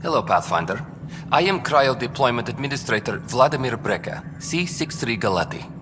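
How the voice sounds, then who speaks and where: A man's voice: medium pitch, sing-song, normal speed, natural voice. A man playing a formal, solemn cryogenics administrator in a video game, speaking officiously. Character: formal, solemn male cryo deployment administrator. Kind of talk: video game dialogue acting. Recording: reverberant hall, music clearly behind.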